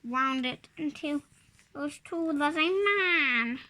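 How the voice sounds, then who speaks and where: Young child reading slowly, high-pitched. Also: bright, forceful tone. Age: 5-year-old child. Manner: halting, word-by-word early reader. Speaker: child reader. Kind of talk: reading a picture storybook aloud.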